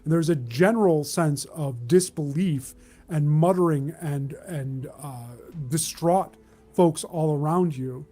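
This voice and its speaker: A man acting as game master, improvising tabletop narration in a measured, descriptive way with mid-sentence pauses. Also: a little high, warm tone.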